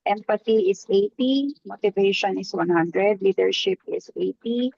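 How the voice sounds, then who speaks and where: Woman, gravelly voice, dry, muffled call audio, measured reading. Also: mid-range voice, darkish tone, dry recording. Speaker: workshop participant. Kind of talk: reading out her scores.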